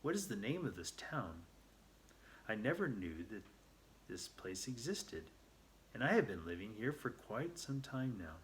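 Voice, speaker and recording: A confident, affectionate hero from a children's storybook read by a man speaks earnestly in a fairly low voice. The manner is reassuring, and faint noise sits in the recording. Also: big pitch swings, measured pace. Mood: politely curious. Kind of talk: storybook character voice.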